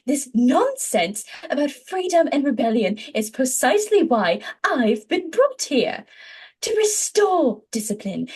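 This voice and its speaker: A woman's voice: high voice, darkish tone, pitched above the performer's own voice. A woman voicing a prim, authoritarian female government official, stern and theatrical, with barely contained fury. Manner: stern, clipped, girlishly prim proclaiming. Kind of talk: theatrical villain monologue.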